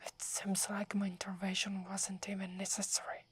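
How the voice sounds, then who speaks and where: A woman's voice: mid-range voice, monotone, normal speed, a little hiss or hum, natural voice. A woman with an Italian accent voicing a prickly, proud sixteen-year-old female werewolf in a whispered ASMR roleplay. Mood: scolding. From Italy. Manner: whispering, haughty and scolding.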